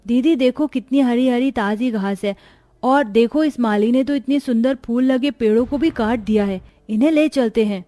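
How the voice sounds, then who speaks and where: An innocent little orphan girl , voiced by a woman in a cartoon tale. Her voice is very high and childlike, that of a wide-eyed younger sister. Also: moderate pace, warm tone, close to an everyday voice. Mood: curious. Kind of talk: cartoon story dialogue.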